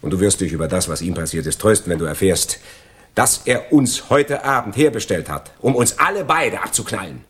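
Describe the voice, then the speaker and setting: An actor playing a gloating, envious crook in a German radio crime drama, sardonic and lecturing in a mock-polite way. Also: male voice, fairly low pitch, very animated, fairly quick, husky. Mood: smug, sardonic. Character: gloating, envious male crook, betraying his friend.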